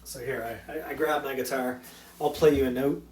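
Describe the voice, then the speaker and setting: A man teaching a physics lesson in a recorded slide talk. He keeps it loose and chatty, full of ums and small restarts. His voice sits at an ordinary middle pitch. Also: steady tone, moderate pace, a little mellow, faint music behind. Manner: casual, loose explaining with many fillers. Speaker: high school physics teacher.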